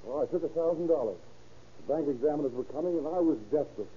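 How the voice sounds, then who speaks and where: An actor playing a desperate, sardonic young bank clerk in a 1930s-style radio drama, with an excitable manner. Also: male voice, fairly high pitch, fast, breathy edge. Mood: ashamed confession. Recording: tinny, hissy old radio recording.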